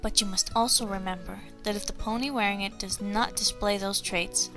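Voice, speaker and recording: A woman voicing a kind grown-up female pony princess in a storybook, a gracious elder-sister mentor giving gentle, solemn advice. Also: mid-range voice, steady tone, moderate pace, music in the background, lightly acted. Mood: solemn, cautioning.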